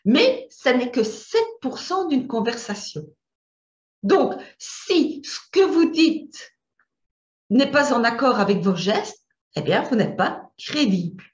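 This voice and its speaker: A woman's voice: on the high side, expressive, takes it slowly. A middle-aged woman from Belgium with a Belgian French accent, teaching an image coaching lesson over slides, often hesitating and repeating words as she searches for them. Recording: roomy, dull lo-fi sound.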